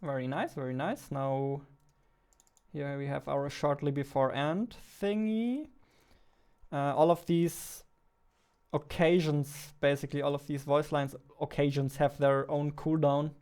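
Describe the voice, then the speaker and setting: Slow-talking young man, casual dev vlog. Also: on the high side, steady tone, a little mellow, clear, resonant tone. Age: 20s. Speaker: indie game developer, vlogger. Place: small indoor room at a computer. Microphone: headset.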